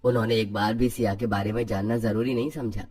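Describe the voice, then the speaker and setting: A man's voice: lowish voice, fairly even intonation, fast talker, darkish tone, slightly rough. A man narrating a scripted story as the narrator.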